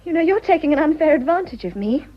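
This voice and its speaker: An actress playing a torn, passionate young English wife in a radio drama, earnest and emotional, with a British accent. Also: female voice, high-pitched, sing-song, fast talker. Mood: indignant. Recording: dull, muffled radio drama audio.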